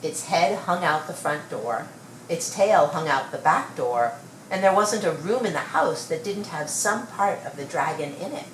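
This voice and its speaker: A woman reading a children's story aloud with expressive, measured storytelling. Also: low-pitched. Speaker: storybook reader. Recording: roomy, distant mic, faint noise.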